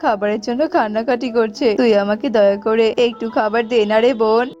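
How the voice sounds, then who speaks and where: Woman as a high-voiced needy male crow. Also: fairly even intonation, brisk, darkish tone, lo-fi sound, soft background music, lightly acted. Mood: pleading.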